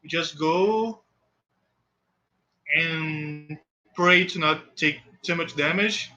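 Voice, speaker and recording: A man with a Portuguese accent, a native Portuguese speaker, commentating his own speedrun live in halting English that often restarts mid-phrase. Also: on the high side, sharp tone, dull audio.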